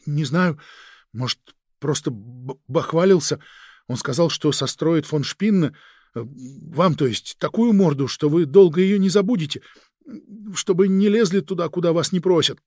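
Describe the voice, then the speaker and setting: A man's voice: on the high side, moderate pace, a little mellow, dry recording, clean studio sound, close to an everyday voice. A man voicing a cowed, shifty police agent in a crime audiobook, hesitant and muttering. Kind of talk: dramatic audiobook character voice.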